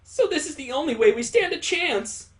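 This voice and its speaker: An earnest visual novel girl, acted out by a game streamer, speaking in a raised, higher pitch. She is polite and apologetic, yet urgent. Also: animated, moderate pace.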